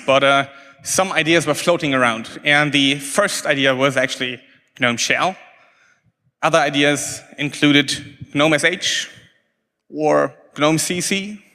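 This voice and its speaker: A man's voice: mid-range voice, pushed and bright. German-native man giving a talk in a reverberant hall. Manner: hesitant, many restarts and fillers. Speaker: conference speaker. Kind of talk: technical talk with slides.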